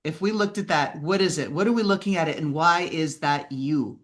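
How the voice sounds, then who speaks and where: A woman's voice: sits low, normal speed. A woman hosting a podcast, asking questions in a conversational interview style. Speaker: podcast host.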